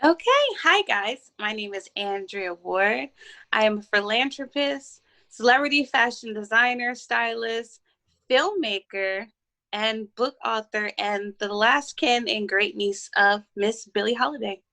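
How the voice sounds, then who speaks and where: A woman from Baltimore, Maryland, talking as an interview guest in a steady, self-assured way. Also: on the high side, a little slow. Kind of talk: casual interview talk.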